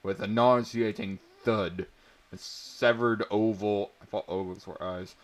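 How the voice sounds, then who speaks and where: A man with a fairly low voice narrates pulp fantasy at a very slow pace. He is theatrical and mock-serious, and he drops into amused asides about the text. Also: fairly even intonation.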